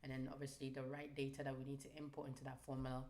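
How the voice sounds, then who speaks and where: Low-voiced woman teaching trading. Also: monotone, rapid-fire, a little mellow, echoey room. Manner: emphatic, ends phrases with "right?". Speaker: trading stream host. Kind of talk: live trading lesson.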